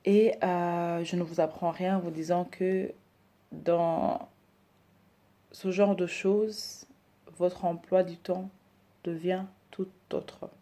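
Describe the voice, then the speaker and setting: A woman in her thirties, from Rwanda and raised in Belgium, introducing herself and her decor business, hesitant with many pauses. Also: on the low side, flat delivery, warm tone. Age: 30s. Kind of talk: personal introduction to camera.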